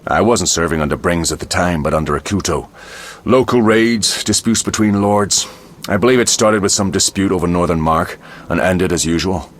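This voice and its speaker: A man's voice: low-pitched, fairly even intonation, normal speed, slight background noise, natural voice. A man playing a world-weary mercenary quartermaster in a video game, wry and speaking in clipped phrases. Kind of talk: video game dialogue acting.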